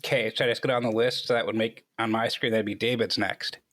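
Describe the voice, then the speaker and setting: A man's voice: on the low side, flat delivery, quick pace, fairly bright tone. A man hosting a casual group interview. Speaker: host.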